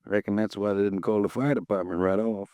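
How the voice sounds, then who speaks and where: A man's voice: on the low side, fairly even intonation, fast, mellow, no room sound, studio quality, lightly acted. An actor playing a stocky, red-faced insurance agent in his late fifties, chatty, folksy and easily riled, in a 1950s radio drama. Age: 50s. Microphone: close studio mic, dry.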